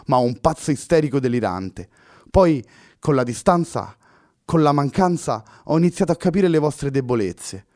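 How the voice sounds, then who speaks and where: A man puts on a passionate young woman in a storybook reading, his voice lifted well above his own and loud with feeling. She pleads fervently and defiantly. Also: mid-range voice, dry recording, clean studio sound, pitched above the performer's own voice, close to an everyday voice. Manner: fervent, raised emotional pleading.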